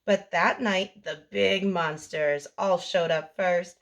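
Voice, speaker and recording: A woman reading a picture book aloud to young children as a storytime host, in an expressive sing-song delivery. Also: lowish voice, slow, dull audio.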